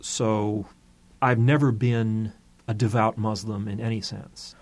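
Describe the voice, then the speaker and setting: A man being interviewed, answering in a measured, plain way. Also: lowish voice, fairly even intonation, a little mellow. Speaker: interview guest.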